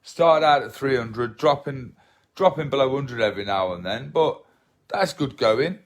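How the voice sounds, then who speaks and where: Man, 30s, from England, soft relaxed voice, rambling livestream chat, repeats himself, phone mic at home. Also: mid-range voice, a little slow. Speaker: livestream host. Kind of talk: casual livestream chat reading comments. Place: small living room at home, indoors. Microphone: phone held at arm's length.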